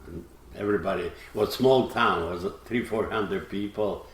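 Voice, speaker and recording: Older Croatian-accented man, deep and slow, halting broken English, guest storyteller, echoey room. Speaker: guest, retired fisherman. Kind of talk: interview.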